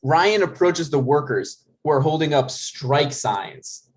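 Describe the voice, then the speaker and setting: A man's voice: a little high, expressive, slow pace. A man narrating stage directions from a script at a comedy table read.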